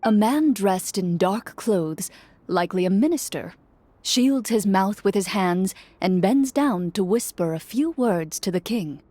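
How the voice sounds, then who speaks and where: A woman reading a game story aloud in steady, measured narration. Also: medium pitch, dry recording. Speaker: story reader.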